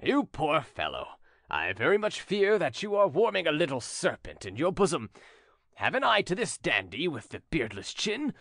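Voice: mid-range voice, wildly swooping pitch, normal speed, a bit bright, no echo, clean studio sound, pitched above the performer's own voice, full character voice. A narrator playing a jovial, mocking, worldly treasurer with a bantering, exclamatory manner in a storybook.

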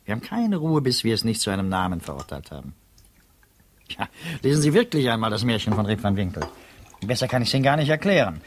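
An actor playing a thoughtful, humane public prosecutor in a 1950s German radio drama, speaking in a measured, slightly husky voice. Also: male voice, on the low side, big pitch swings, a little hiss or hum. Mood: earnest. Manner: measured, reflective.

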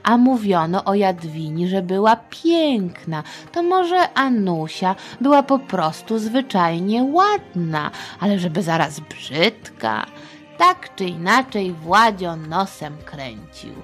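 A woman hosting a children's history podcast, telling the story in a playful, chatty, expressive manner. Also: mid-range voice.

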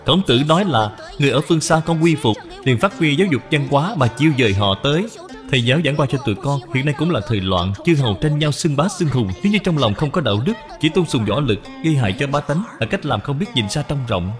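A man's voice: mid-range voice, expressive, fast, lightly acted. A man voicing a polite, earnest young scholar boy in a children's cartoon, with respectful, formal speech. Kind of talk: dubbed children's moral cartoon. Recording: long hall reverb, music behind.

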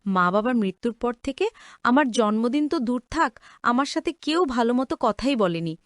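A woman's voice: a little high, expressive, normal speed, no echo, clean studio sound, close to an everyday voice. A woman voicing a humble, earnest young housemaid in a cartoon story, deferential and emotional. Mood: moved, grateful. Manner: deferential, emotional, wide-eyed.

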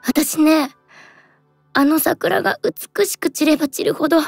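An actress playing a gentle, devoted fox spirit in an anime audio drama, speaking wistfully and breathily in a western Japanese dialect. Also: female voice, high voice, a little slow, gravelly, no echo, faint music behind. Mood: wistful, tender.

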